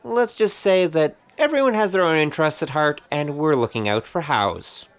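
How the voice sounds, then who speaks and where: A man's voice: a little high, expressive, normal speed, clear, resonant tone, dull audio. A man playing a cheeky, easygoing young wandering gambler in an audio drama, with a wry, glib delivery. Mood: polite, firm. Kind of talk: scripted audio drama acting.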